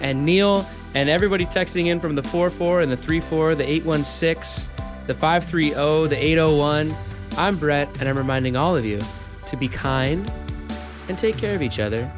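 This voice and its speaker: A man hosting a podcast, joking with guests and running through a rapid sign-off of plugs and shout-outs. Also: a little high, music in the background. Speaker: podcast host. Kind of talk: casual chat and show sign-off.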